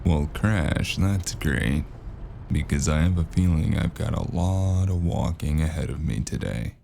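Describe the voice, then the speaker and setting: A man playing a weary, gruff detective in a noir audio drama, speaking in a dry, terse, gravelly way. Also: extremely low pitch, measured pace, noisy background, exaggerated voice. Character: weary gruff male noir detective narrating his cyberpunk case. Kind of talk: hard-boiled noir audio drama narration and dialogue.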